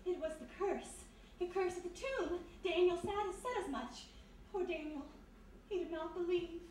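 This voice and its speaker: A very high, theatrical voice rings through an echoing hall, some way from the mic. a plaintive, clinging society daughter in a radio mystery, dramatic and full of despair. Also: female voice, normal speed, darkish tone. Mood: ominous, despairing. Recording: very echoey hall, distant mic, faint noise.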